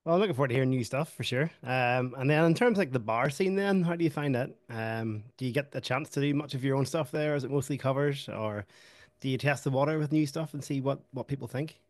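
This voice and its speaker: Quick-talking male podcast host. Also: medium pitch, dry recording. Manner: quick, friendly, talkative. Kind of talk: casual interview chat.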